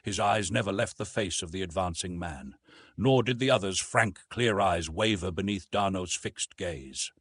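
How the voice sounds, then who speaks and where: A man's voice: sits low, normal speed, fairly bright tone, slightly rough, no room sound, studio quality. A man narrating an adventure novel as an audiobook in a theatrical, suspenseful storytelling style.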